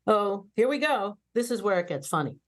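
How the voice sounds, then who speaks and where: A brash young woman officer from a sci-fi cartoon script, read by an actress at a table read. She is nosy, mischievous and bossy. Her intonation swings in a lively, expressive way. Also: medium pitch, moderate pace, natural voice. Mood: gleeful.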